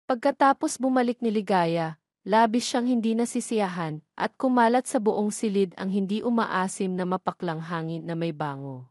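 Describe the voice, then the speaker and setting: A woman's voice: mid-range voice, normal speed, darkish tone, no room sound, clean studio sound. A woman with a Filipino accent reading a scripted narration for an audio drama love story.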